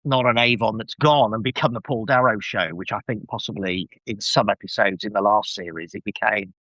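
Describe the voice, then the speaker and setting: A man's voice: lowish voice, fairly quick, pushed and bright, no echo, highs cut off. A man talking as a podcast guest in casual discussion, enthusiastic and emphatic with a wry edge.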